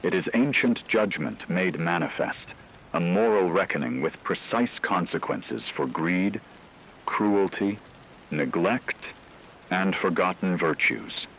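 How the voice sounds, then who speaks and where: Male actor as grave radio drama newsman, low voice, solemn formal delivery, noisy rainy background. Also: slow pace, fairly bright tone, full character voice. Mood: grave, ominous. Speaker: radio news reporter. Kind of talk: scripted dramatic radio news narration.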